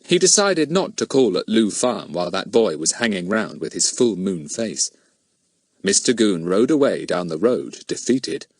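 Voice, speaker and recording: A man's voice: fairly low pitch, sing-song, normal speed, husky, no echo. A man reading a children's story as an audiobook narrator, in scripted narration.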